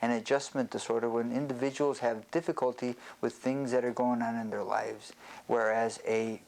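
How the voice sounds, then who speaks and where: A man speaking as a psychiatrist presenting an educational health talk, explaining in a measured way with hesitant pauses. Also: medium pitch, vocal fry, a little mellow, rough recording.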